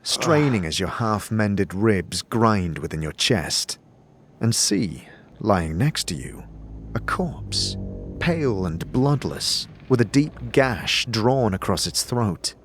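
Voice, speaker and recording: A man narrating a fantasy audio drama as game master, in a measured, deliberate and dramatic storytelling delivery. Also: lowish voice, sing-song, a little hiss or hum. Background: faint music.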